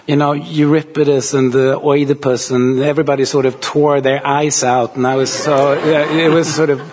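A man's voice: fairly high pitch, flat delivery, moderate pace, darkish tone. A man, an author on a panel, telling dry, amusing anecdotes in a casual way. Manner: anecdotal, wry storyteller. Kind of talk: panel conversation. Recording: long hall reverb.

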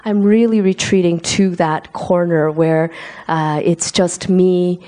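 Thoughtful woman author, halting answers. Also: lowish voice, steady tone, dark tone. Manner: thoughtful, many pauses and restarts. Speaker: author being interviewed. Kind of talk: reflective interview answers. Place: lives in South Korea.

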